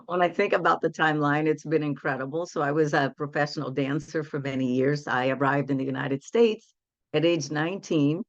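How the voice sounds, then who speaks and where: A woman's voice: low-pitched, brisk, dry recording, dull audio. A woman in her sixties from Brazil, speaking English as a guest coach in an earnest, reflective way. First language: Portuguese. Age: 60s. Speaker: guest, women's empowerment coach. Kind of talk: casual interview storytelling.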